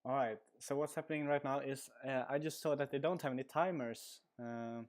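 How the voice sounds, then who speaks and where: A man's voice: medium pitch, fairly even intonation, fairly quick. Casual man commentating a card game. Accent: Swedish. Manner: casual, rambling, frequent uh fillers. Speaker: stream commentator. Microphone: headset.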